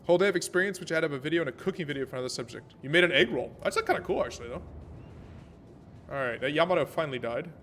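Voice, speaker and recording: An American man streaming a naval battle game, thinking aloud about tactics and chatting with viewers in a relaxed way. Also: a little high, rapid-fire, faint music behind. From American, born in Germany. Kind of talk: live gameplay commentary and chat. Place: Vienna home setup.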